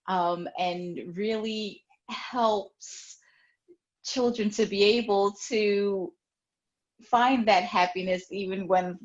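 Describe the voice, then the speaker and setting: Woman, show host, gentle and encouraging, slow talker, lowish voice, dull lo-fi audio. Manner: gentle, encouraging, with many fillers. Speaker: host of a children's reading show. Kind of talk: interviewing an author. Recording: dull lo-fi sound.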